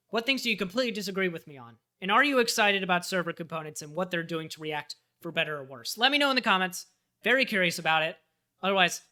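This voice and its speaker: A man with a bright, forward voice chatting casually on a livestream. He thinks aloud as he fills out a form and talks straight to his viewers. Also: high voice. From United States. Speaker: live streamer and web developer.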